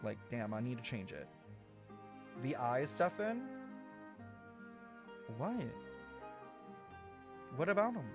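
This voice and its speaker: A man's voice: medium pitch, very animated, slow, long pauses. A young man livestreaming a game with casual, sarcastic, slangy and profane commentary over music.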